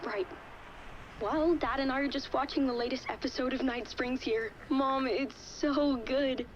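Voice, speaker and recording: A woman's voice: high-pitched, steady tone, a little slow. A woman playing a cheeky, affectionate young daughter on a phone call in a video game, casual and teasing. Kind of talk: naturalistic video game acting. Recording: dull phone-call sound, faint noise.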